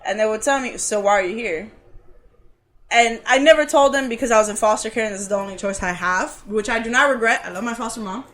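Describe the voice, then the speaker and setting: A woman from Cuba tells a personal story as a podcast guest. Her voice sits a little high, and she talks earnestly and casually, filling pauses with uhs. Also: a bit bright. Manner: earnest, talks things through with many uhs.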